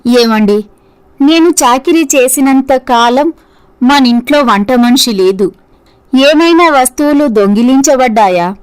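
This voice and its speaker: A woman playing a dutiful, principled housewife and mother in a scripted story, speaking earnestly and in measured phrases. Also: high-pitched, lively intonation, clear, resonant tone, rough recording.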